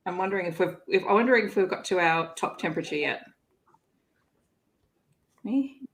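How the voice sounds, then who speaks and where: Woman hosting a readalong stream, voice a bit low, just chatting casually about books. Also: normal speed. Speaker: host of an online readalong livestream. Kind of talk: casual livestream chat about romance books.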